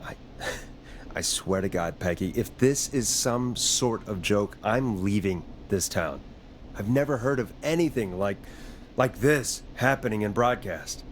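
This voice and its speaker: An actor voicing a concerned, hesitant radio host in a horror video game, earnest and questioning. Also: male voice, fairly low pitch, lively intonation, unhurried, slight background noise. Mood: shaken. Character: uneasy, concerned male late-night radio host.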